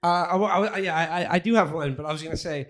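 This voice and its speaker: A man's voice: a little high, rapid-fire, a bit bright. Stammering jokey male co-host. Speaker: podcast co-host. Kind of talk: casual chat.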